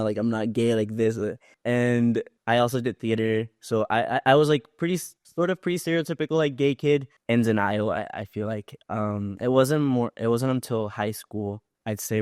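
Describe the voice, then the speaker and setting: A man from Puerto Rico speaks in a slightly low voice with little rise and fall. He tells personal stories conversationally as a podcast guest, reflecting and rewording as he goes. The recording is dry. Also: a little mellow, no room sound.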